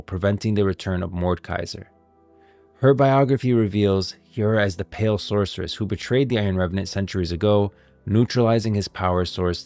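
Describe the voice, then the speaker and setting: A man's voice: on the low side, creaky, darkish tone, dry recording. A man hosting a stream, giving emphatic, explanatory commentary on video game lore, part read and part off the cuff.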